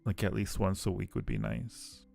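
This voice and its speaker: A man's voice: deep voice, big pitch swings, moderate pace, vocal fry, warm tone, soft background music. A man chatting casually and playfully with viewers on a livestream, teasing them affectionately. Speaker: live streamer hosting a chat.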